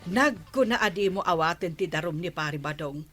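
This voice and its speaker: Actress as a stern, bright-voiced Ilocano village woman. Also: fairly low pitch, expressive, moderate pace, faint music behind. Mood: reproving. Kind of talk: Ilocano comedy radio drama acting.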